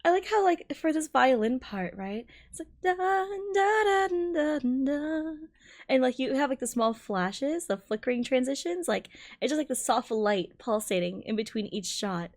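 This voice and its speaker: A woman streamer giving excited, exclamatory and rambling reaction commentary on a game trailer. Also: high voice, moderate pace. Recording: music playing behind the voice. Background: game trailer music and dialogue.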